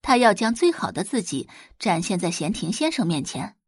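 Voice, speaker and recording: A woman's voice: medium pitch, big pitch swings, moderate pace, slightly rough, no echo, studio quality. A woman narrating a scripted audiobook drama in a storytelling style.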